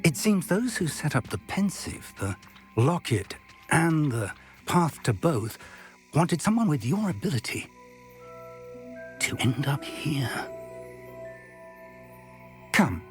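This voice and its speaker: A man voicing a kindly, scholarly older wizard professor in a video game, earnest, thoughtful and gently formal. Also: a little high, very animated, slow, pauses often. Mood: awed, concerned. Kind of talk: cinematic video game acting. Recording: music under the voice.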